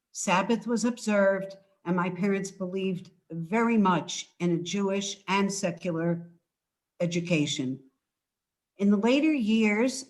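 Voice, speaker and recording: An older woman, an author, giving a prepared presentation as guest speaker. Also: mid-range voice.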